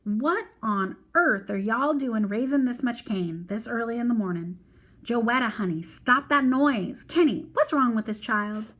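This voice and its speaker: A woman puts on an old Southern grandmother from a storybook. She is fussy and exasperated, loudly scolding the children in a folksy manner. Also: on the high side, lively intonation, unhurried. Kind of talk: storybook read-aloud character voice.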